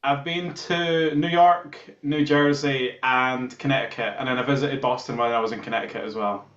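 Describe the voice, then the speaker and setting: A man's voice: mid-range voice, fairly even intonation, normal speed, fairly bright tone. A Scottish man chatting casually as an interview guest, with a jokey, tongue-in-cheek manner. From Scotland. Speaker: band musician, guitarist, interview guest. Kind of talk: casual chat. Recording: dull, roomy video-call sound.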